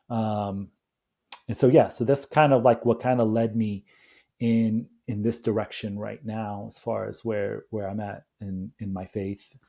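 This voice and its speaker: A man's voice: on the low side, dark tone. A man, talking casually as a podcast guest, rambling with lots of fillers and restarts. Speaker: podcast guest, coffee company founder. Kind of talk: casual interview chat.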